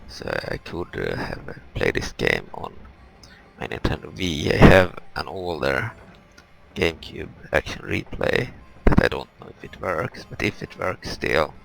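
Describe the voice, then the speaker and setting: Man, rock-bottom pitch, bright forceful edge, casual game commentary, halting, explanatory. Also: big pitch swings, vocal fry, poor audio. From Europe. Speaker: gaming video host. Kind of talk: casual let's play commentary.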